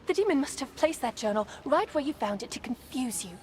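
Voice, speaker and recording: A woman's voice: sits high, lively intonation, brisk, a little hiss or hum. A woman voicing a condescending, deceitful demon in a wizard's guise, theatrical video game acting. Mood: haughty, persuasive. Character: female wizard, secretly a deceitful demon, haughty and manipulative. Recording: music behind the voice. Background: game music.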